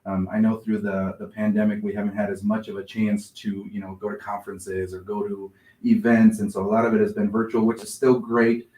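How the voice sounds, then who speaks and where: Lower-voiced man in an echoey room. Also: level tone, fairly quick, few pauses, mellow. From born in Mexico, raised in Nebraska, USA. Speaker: interview guest, middle school principal. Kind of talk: conversational personal storytelling. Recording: roomy, echoing video call sound.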